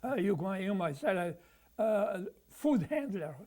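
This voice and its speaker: High-voiced man in his nineties. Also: very animated, a little mellow. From Croatia. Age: 90s. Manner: halting, with many pauses and fillers. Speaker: veteran being interviewed. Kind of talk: oral history interview.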